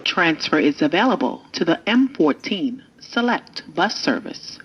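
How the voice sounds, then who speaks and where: Woman train announcer, lowish voice, lively intonation, formal scripted reading. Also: measured pace, dull audio, a little hiss or hum. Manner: formal, informative.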